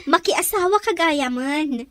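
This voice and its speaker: A woman acting a bubbly, excitable young best friend in a radio drama. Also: high voice, a little slow, dry recording. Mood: excited, teasing.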